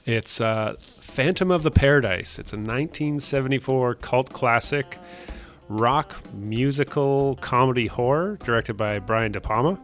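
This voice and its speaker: A man co-hosting a radio show, casually running through listings in a halting, hesitant way. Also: mid-range voice, faint music behind. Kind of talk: casual chat, reading film listings.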